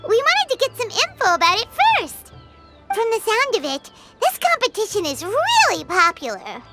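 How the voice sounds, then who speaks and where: Tiny fairy companion, childlike squeak, extremely animated, excitable, indignant, video game dialogue, loud background music. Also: female voice, moderate pace, heavily acted. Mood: curious chatter. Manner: exclamatory, speaks of self in third person.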